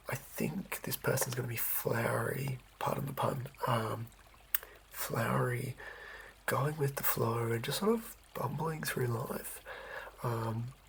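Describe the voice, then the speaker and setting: A man whispering softly as he rambles through building a tabletop role-playing character, with lots of fillers and pauses. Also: mid-range voice, vocal fry, a little hiss or hum, poor audio. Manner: soft unvoiced whispering, rambling with many fillers; writes by hand as he talks. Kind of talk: whispered ASMR chat.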